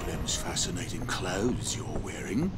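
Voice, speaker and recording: An actor playing a scruffy, chatty merchant on a ship who talks in a wheedling, boastful and colloquial way in a video game. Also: male voice, low voice, expressive, measured pace, over music. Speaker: game character. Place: game audio played behind a commentator.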